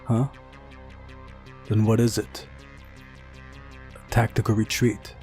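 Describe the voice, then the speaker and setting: A man's voice: on the low side, monotone, slow, darkish tone, soft background music. A man voicing a mysterious, unhinged young camp pioneer in a visual novel, with a taunting, theatrical manner. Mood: mocking.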